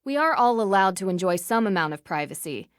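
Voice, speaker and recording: An earnest young woman detective from a video game , acted by a woman. She speaks in a measured, conversational way at a middling pitch, curious and level-headed, in a dry, close recording. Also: animated, clear, resonant tone, dry recording, lightly acted. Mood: thoughtful, suspicious.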